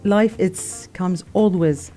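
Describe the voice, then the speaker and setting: Earnest mellow-voiced woman from Syria. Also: fairly low pitch, slow pace, faint music behind. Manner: earnest, reflective, many "you know" fillers. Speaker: guest. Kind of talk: casual radio chat.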